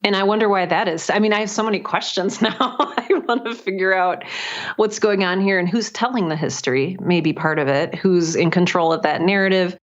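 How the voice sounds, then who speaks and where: A woman's voice: medium pitch, fairly quick. A woman musing aloud as a guest in a casual interview, over dull call audio. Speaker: interview guest, writer and podcaster.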